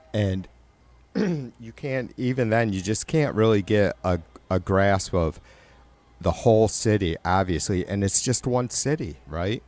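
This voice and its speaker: A middle-aged man with a nasal, raspy voice, hosting a livestream and chatting loosely with viewers, rambling and swearing. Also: lowish voice, a little mellow. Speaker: livestream host. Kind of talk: casual chat with live viewers.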